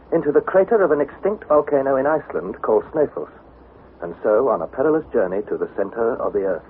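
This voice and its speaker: Actor as earnest young adventurer, swooping old-radio voice. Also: male voice, medium pitch, lightly acted. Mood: grave, suspenseful. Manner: formal, precise, dramatic storytelling. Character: Harry, earnest young adventurer narrating his perilous journey. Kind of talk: vintage radio drama narration and dialogue. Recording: tinny, noisy 1960s radio recording.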